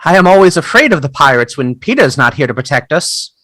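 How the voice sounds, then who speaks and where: A woman's voice: low voice, very animated, a little fast, fairly bright tone, full character voice. A woman playing an anxious, wistful young boy in an audio play. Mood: nervous.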